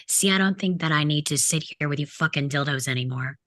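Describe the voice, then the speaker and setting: A woman's voice: low-pitched, fast, fairly bright tone, no room sound, clean studio sound. A woman playing a bitter, sarcastic teenage delinquent boy who mocks his family in a script table read. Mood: hurt, defiant. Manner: sarcastic, aggressive, mimics parents in mock voices.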